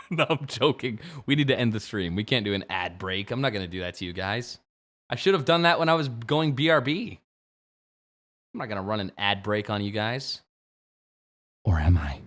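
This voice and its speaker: Playful young man, lively pitch. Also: moderate pace, long pauses. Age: 20s. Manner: playful, jokey, swings from mumbling to sudden shouts. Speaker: game streamer. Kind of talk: late-night livestream chat.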